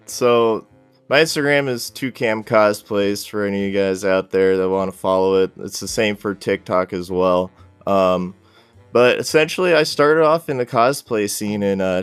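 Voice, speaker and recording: A man's voice: fairly low pitch, moderate pace, clear, resonant tone, dry recording, soft background music. A man speaking as a podcast guest in casual interview chat, with a loose, rambling delivery.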